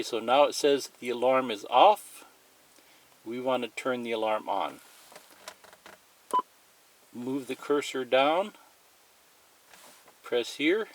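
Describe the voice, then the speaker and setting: A man's voice: mid-range voice, a little hiss or hum, low-quality recording. A man giving a plain, methodical, unscripted step-by-step demonstration of a product as a tutorial presenter.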